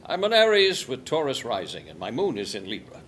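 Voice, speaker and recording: Lively, boastful astronaut played by a man, noisy recording. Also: mid-range voice, brisk. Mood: earnest, boastful. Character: cheerful, boastful, squeaky-clean hypochondriac astronaut man. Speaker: actor at a table read. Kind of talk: comedy script table read. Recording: clearly noisy table read recording.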